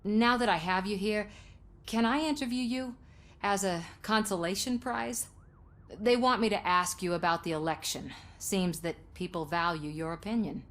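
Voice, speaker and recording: An actress playing an earnest, assertive television news reporter in a video game, polished and articulate. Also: female voice, medium pitch, normal speed, fairly bright tone, natural voice. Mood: conciliatory.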